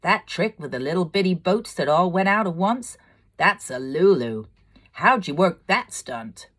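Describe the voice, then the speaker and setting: A woman's voice: on the low side, animated, a little slow, fairly bright tone, pitched above the performer's own voice. Woman putting on a folksy, hearty colonel. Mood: admiring. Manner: folksy, drawling slang. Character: hearty, folksy American male colonel, a firework maker.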